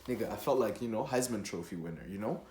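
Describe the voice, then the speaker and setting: A young man from Rwanda telling a personal story casually, in an animated, slangy and profane way. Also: fairly low pitch, fast, slight background noise. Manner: animated, slangy, profane, with acted-out quotes and sound effects. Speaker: storytelling vlogger. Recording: echoey, roomy sound.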